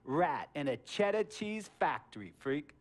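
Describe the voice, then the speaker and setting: An actor playing a wealthy middle-aged tech mogul in a video game cutscene, smooth and self-satisfied as he tells long stories. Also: on the high side, very animated, measured pace. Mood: storytelling.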